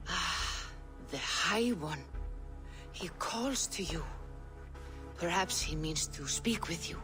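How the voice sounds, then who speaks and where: An actress voicing a solemn, mystical Norse seeress in a video game, with a hushed ritual manner. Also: female voice, lowish voice, expressive, slow pace, music in the background. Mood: grave, gentle. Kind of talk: video game character acting.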